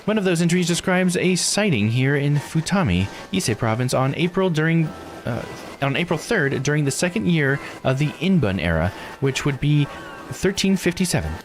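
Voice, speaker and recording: Man putting on a high, polite, enthusiastic guide. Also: very animated, normal speed. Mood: informative, earnest. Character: bright, courteous local guide reciting lore. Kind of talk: visual novel character read aloud. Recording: game music under the voice.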